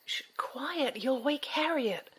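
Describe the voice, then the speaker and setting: A woman's voice: sits high, lively intonation, takes it slowly, fairly bright tone, a little hiss or hum, exaggerated voice. A woman acting a tender, protective mother of a grown son in a science fiction audio drama. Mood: hushed.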